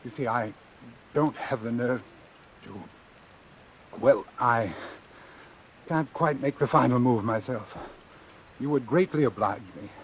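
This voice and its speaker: Formal, calculating doctor, hissy recording. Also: male voice, medium pitch, rough recording. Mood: calm, persuasive. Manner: formal, precise, measured. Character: cold, calculating male doctor obsessed with his experiment. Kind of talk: vintage suspense radio drama narration and acting. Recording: hissy old radio recording.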